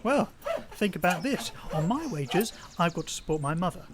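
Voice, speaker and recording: An actor playing a poor, self-pitying, lovesick young man in an audio drama, talkative and halting, plaintive, on a noisy recording. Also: high-pitched, big pitch swings. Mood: aggrieved, earnest. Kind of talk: naturalistic audio drama acting.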